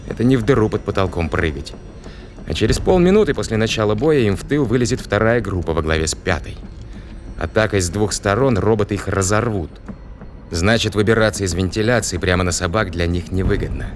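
A man's voice: low voice, background noise. An actor playing a decisive spaceship crewman who reasons aloud and gives orders in an audio drama. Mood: urgent, commanding. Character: decisive male spaceship crewman planning a counterattack.

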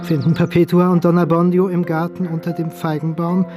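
Male radio drama narrator over music. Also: a little high. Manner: measured, expressive, deliberate pauses. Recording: loud music behind narration.

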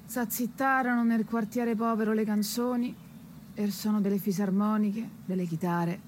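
A woman's voice: mid-range voice, steady tone, moderate pace, a little mellow, natural voice. A woman playing a mournful townswoman from a poor quarter, narrating a radio drama. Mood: mournful recollection. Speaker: narrator in a radio stage serial. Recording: faint background noise.

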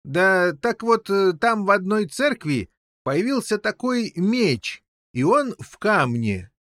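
Man voicing a high-pitched, flustered, rambling king. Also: sing-song, slow, fairly bright tone, no room sound, clean studio sound, exaggerated voice. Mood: flustered, explaining. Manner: rambling, stammering, tacks on questioning interjections. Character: excitable muddled old king, rambling and flustered.

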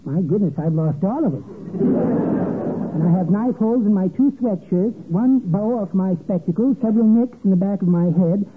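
A man's voice: high-pitched, normal speed, husky. An actor playing a meek, henpecked little American man, hesitant and apologetic, in old-time radio comedy. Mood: timid, flustered. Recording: tinny, faintly noisy old radio recording.

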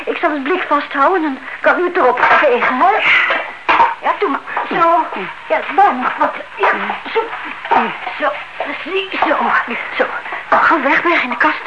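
Woman as sensible, exasperated younger woman, radio drama, high voice, brisk and mocking, very noisy old recording. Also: dull audio, natural voice. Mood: brisk, bossy. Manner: impatient, mocking, brisk and bossy.

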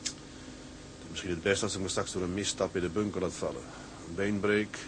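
A man's voice: low-pitched, fairly even intonation, a little fast, background noise, lightly acted. An actor playing a troubled, homesick young seaman who broods and talks to himself in a radio drama. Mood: anguished, brooding. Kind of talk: Dutch radio drama monologue and dialogue.

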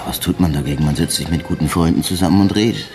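A man's voice: sits low, fast talker. A man acting a dry, brooding senior detective in a naturalistic radio crime drama, musing wryly and asking clipped questions.

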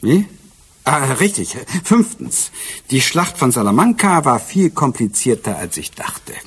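An actor playing a brisk, wry police inspector in a German radio drama, dry and methodical in manner. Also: male voice, medium pitch, big pitch swings, a bit bright, slightly rough, exaggerated voice. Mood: dry, teasing.

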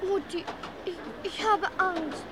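A woman's voice: extremely high pitch, fairly even intonation, slow pace. An actress playing a small, anxious and curious girl in a radio crime drama, with a childlike questioning manner. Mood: anxious, whining. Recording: clear outdoor vehicle noise behind.

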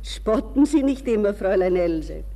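A woman acts out a gallant ageing gentleman for a radio play. The delivery is soft-toned, courteous and flirtatious, with formal phrasing. Long reverberation hangs around the voice. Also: medium pitch, expressive, normal speed, lightly acted. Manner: formal, gallant, self-deprecating. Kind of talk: radio drama dialogue.

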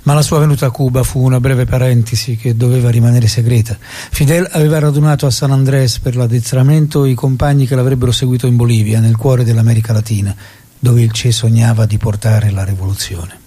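Solemn male storyteller, played by an actor: dark, husky tone, measured theatrical delivery over music. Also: mid-range voice, fairly even intonation, lightly acted. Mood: grave. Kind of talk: dramatic scripted stage narration. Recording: music behind the voice.

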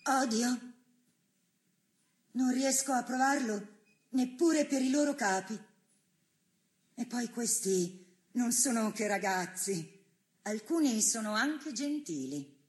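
Imprisoned woman dissident, highish voice, slow, measured, solemn, gentle, principled, theatrical. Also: animated. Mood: calm, resolute. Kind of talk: stylized stage theatre monologue and dialogue.

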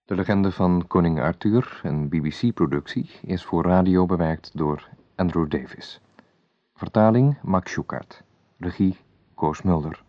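A man's voice: low-pitched, a little slow, no room sound, highs cut off. A man announcing radio drama credits in a formal, scripted read.

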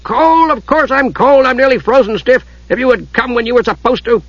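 Casting: irascible old fantasy dwarf, played by a male narrator, growly and husky, straining high, for a storybook. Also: a little fast, bright, forceful tone, dry recording, dull audio, full character voice. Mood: infuriated. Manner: growling, spluttering, exclamatory. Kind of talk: audiobook character voice.

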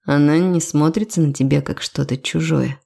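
A woman voicing a self-assured, quietly commanding male lover in a romance audiobook, measured and teasing. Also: low-pitched, fairly even intonation, vocal fry.

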